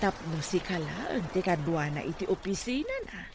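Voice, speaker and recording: Animated scheming mother, noisy recording. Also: on the low side, normal speed, gravelly. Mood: plotting. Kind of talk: Ilocano radio comedy-drama acting. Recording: noisy radio drama recording.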